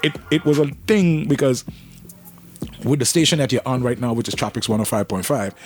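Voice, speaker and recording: A man, hosting a casual radio interview, joking and lively. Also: lowish voice. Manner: lively, joking, Caribbean creole phrasing. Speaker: radio host. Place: radio studio. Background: faint music.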